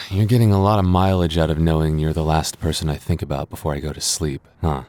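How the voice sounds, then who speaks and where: A man's voice: rumbling low, steady tone, fairly quick, darkish tone, no echo. A man playing a flustered young man with a secret crush in an intimate boyfriend ASMR roleplay, stammering and getting defensive. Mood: teasing, shy. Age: young adult.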